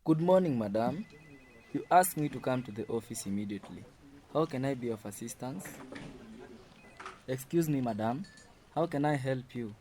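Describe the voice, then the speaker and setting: A man's voice: mid-range voice, expressive, normal speed, long pauses, natural voice. A man playing a polite, deferential office technician in a radio drama, speaking courteously. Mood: polite, hesitant. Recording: clear background noise.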